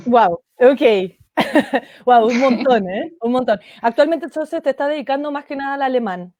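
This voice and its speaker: An upbeat woman hosts a video call interview and reacts eagerly to her guests. Her voice sits a little high. The sound is dry and close. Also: normal speed, a little mellow, dry recording. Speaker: host.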